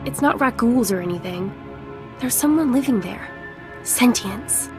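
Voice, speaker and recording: A woman's voice: a little high, animated, measured pace, music in the background. An actress playing an earnest, anxious woman settler in a video game, speaking in a worried, conciliatory way. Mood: earnest, hopeful. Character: earnest, anxious young woman settler, her brother's apologetic sister. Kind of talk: dramatic scripted video game dialogue.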